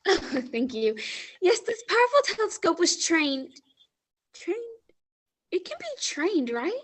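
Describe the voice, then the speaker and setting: A woman's voice: very high voice, very animated, a little slow, exaggerated voice. A young female student actor playing a veteran astronomer in a stage radio play, fumbling her lines. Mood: flustered. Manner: flustered, losing her lines, giggly.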